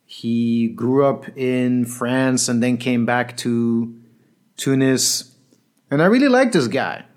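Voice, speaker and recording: A man's voice: mid-range voice, slow, darkish tone. Earnest man telling a coaching story. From Belgium. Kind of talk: storytelling monologue.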